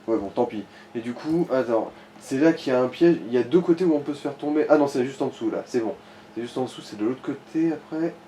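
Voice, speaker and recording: Male, medium pitch, mellow tone. Casual, sweary gaming advisor on a faintly noisy home recording. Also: quick pace. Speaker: co-commentator guiding the player.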